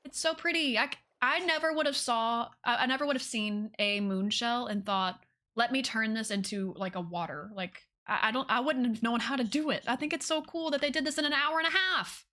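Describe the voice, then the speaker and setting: A woman with a fairly high, very bright voice hosts a casual game livestream. She talks informally and gushes with enthusiasm, often repeating phrases and addressing her viewers as y'all. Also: a little fast. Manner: gushing, enthusiastic.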